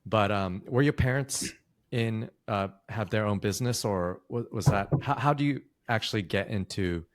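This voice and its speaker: A man's voice: lowish voice, normal speed. A man hosting a podcast, reading a scripted intro and then asking loose, friendly questions with fillers. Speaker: podcast host.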